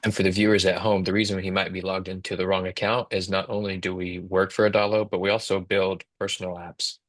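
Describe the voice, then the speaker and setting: Low, even-toned man explaining casually. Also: fast talker, no room sound. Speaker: co-host, app company team member. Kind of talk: casual instructional chat. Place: indoors on a video call, virtual background.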